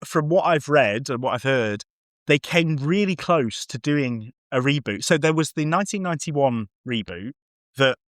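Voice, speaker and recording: A man's voice: mid-range voice, expressive, normal speed, fairly bright tone, no echo, clean studio sound. A man talking casually and enthusiastically as a guest on a review podcast, effusive in his opinions.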